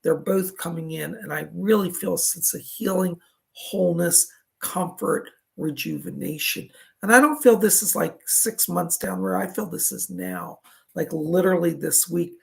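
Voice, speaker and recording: A man with a raspy voice, a psychic medium giving live readings in a soothing, reassuring, chatty way. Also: sits high, expressive, moderate pace.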